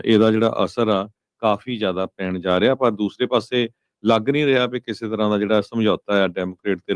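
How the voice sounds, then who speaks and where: A man's voice: on the low side, clean tone, no room sound. A man reading news conversationally as a radio host, mixing in English words. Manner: mixes English words into speech.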